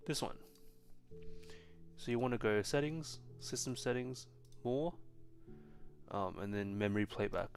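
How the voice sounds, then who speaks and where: Relaxed man on a live stream. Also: mid-range voice, unhurried, pauses often, faint music behind. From China. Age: young adult. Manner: relaxed, frequent ums and restarts.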